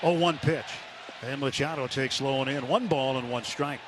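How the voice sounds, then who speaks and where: A man's voice: medium pitch, moderate pace. An American man calling a live baseball game as a radio play-by-play announcer. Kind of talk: live sports commentary. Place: stadium broadcast booth. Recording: noisy ballpark radio broadcast.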